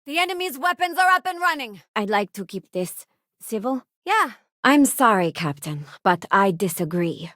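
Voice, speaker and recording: Casting: idealistic female spacefarer crewmate for a game; higher pitch, dry close sound, sincere and opinionated. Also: sing-song, normal speed, a bit bright, no room sound. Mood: urgent combat alarm, then firm, disapproving. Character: earnest, principled female space crew companion, idealistic ex-security officer. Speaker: video game voice actress. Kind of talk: acted game companion dialogue lines.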